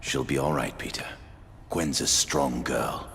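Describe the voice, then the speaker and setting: An actor playing a calm, reassuring middle-aged scientist in a video game, speaking in a measured, hesitant way. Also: male voice, extremely low pitch, vocal fry, long reverb tail, a little hiss or hum, full character voice. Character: calm, reassuring male scientist, very deep-voiced. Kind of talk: video game cutscene acting.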